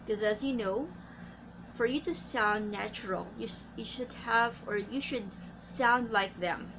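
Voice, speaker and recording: A woman from the Philippines with a Filipino accent, an English teacher giving tips in a casual vlog, speaking carefully with many pauses and fillers. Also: a little high, noisy background. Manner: slow and careful, frequent uh fillers.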